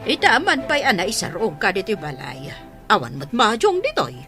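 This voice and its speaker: A woman's voice: mid-range voice, wildly swooping pitch, moderate pace, loud music behind the voice. An actress playing a scolding, tight-fisted mother in a radio comedy drama, with an Ilocano Filipino accent. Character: scolding mother who refuses to lend money.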